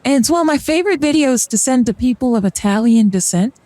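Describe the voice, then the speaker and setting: A woman's voice: on the high side, lively intonation, fast, mellow, no echo. A young woman streamer chatting casually and joking through a card reading on a live stream. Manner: rambling and joking, with fillers and short laughs. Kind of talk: casual livestream chat during a card reading.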